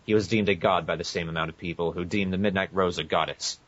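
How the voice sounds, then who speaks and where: A man with a very deep voice co-hosts a casual group podcast. His delivery is conversational, and the sound is dull and lo-fi. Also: fairly even intonation, quick pace, a bit bright, dry recording. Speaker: podcast co-host. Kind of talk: casual group podcast chat, some reading aloud. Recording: muffled lo-fi internet podcast audio.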